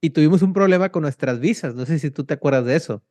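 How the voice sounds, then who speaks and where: A young man from Mexico, hosting a casual interview and telling stories in a slangy, animated way. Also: fairly high pitch, fast, no room sound, clean studio sound. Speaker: podcast host.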